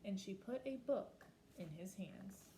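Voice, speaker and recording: A woman reading a children's picture book aloud expressively, slowing down for emphasis and pausing to ask her listeners questions. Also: medium pitch, lively intonation, echoey room, noisy background. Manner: asks listeners reflective questions. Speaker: storybook reader.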